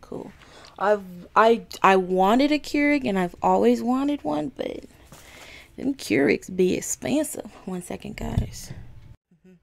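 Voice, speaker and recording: A woman hosting a casual home video podcast, chatting to her viewers in a slightly raspy morning voice and apologizing often. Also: on the high side, takes it slowly. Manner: chatty, apologetic, easily excited. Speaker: podcast host. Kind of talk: casual chat to camera. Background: coffee maker running.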